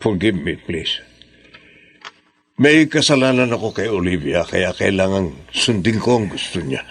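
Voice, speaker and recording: A man's voice: sits low, expressive, unhurried, husky, heavily acted. An actor playing a guilty, apologetic middle-aged father in a radio drama. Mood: remorseful.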